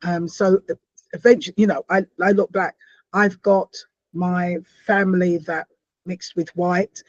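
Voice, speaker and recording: A woman from South America hosting a podcast interview, stopping and restarting her phrases and breaking into bright, lively exclamations. Her voice has a husky edge. The sound is dry and somewhat dull. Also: fairly low pitch, dry recording. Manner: halting, repeats words, animated exclamations. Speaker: podcast host. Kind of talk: conversational interview.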